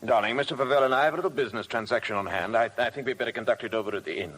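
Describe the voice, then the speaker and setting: A man with an English accent playing a brooding, prickly gentleman in 1950s radio drama, clipped and controlled. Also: medium pitch, very fast, lightly acted. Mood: curt, tense. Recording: noisy vintage radio broadcast recording.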